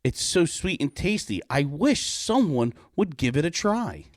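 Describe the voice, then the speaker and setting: A man's voice: on the high side, sing-song, a little slow, no room sound, clean studio sound, voice pushed up. A man voicing a sweet, dejected girl in a comic storybook, plaintive and earnest.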